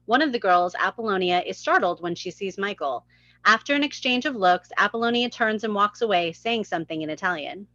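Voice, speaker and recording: A woman narrates stage directions from a script at a table read. Her voice is forward and bright at an average female pitch, recorded dry with a slightly lo-fi sound. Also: lively intonation, brisk, dry recording.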